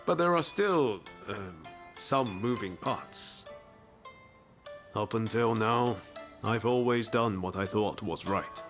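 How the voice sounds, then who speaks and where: A man's voice: lowish voice, animated, slow, fairly bright tone, soft background music. A man playing an easygoing, idealistic samurai hero in a video game cutscene. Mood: earnest, hopeful.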